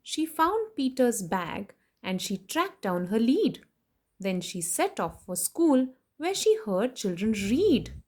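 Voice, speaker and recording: Animated woman reading aloud to children. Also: fairly high pitch, slow pace. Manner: animated, sing-song rhyme reading with playful emphasis. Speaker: children's story reader and host. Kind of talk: expressive read-aloud of a picture book with chatty asides to children.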